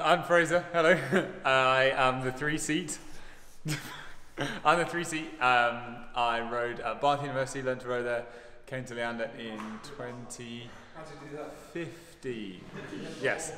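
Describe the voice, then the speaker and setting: A man's voice: medium pitch, steady tone, measured pace, pushed and bright. A young man, a rower, bantering with cocky tongue-in-cheek boasts about his seat in the boat. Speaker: rower, interviewee. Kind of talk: casual banter. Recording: echoey room with crowd noise.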